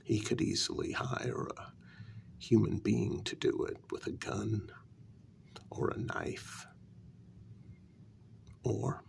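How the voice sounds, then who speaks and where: A man playing a vampire queen's devoted, aging human consort in a soft-spoken ASMR role-play, speaking slowly and deliberately in a breathy half-whisper. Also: on the low side, slight background noise, full character voice. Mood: calm reasoning. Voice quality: breathy, creaky. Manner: slow, deliberate, half-whispered, with lingering pauses.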